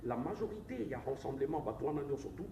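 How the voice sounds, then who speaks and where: A man's voice: medium pitch, very animated, brisk, vocal fry. A man with a Congolese accent argues insistently in a political interview, switching between languages as he makes his case. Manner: insistent, argumentative, code-switching. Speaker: interviewed politician, presidential candidate. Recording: distant, echoey, noisy room recording.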